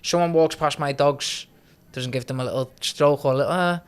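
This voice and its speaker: A young man from Liverpool with a softened Scouse accent, chatting casually as a podcast guest and often laughing. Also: on the high side, brisk, smooth. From Liverpool, England. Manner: chatty, laughs often, frequent "do you know what I mean". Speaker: guest, trading company CEO.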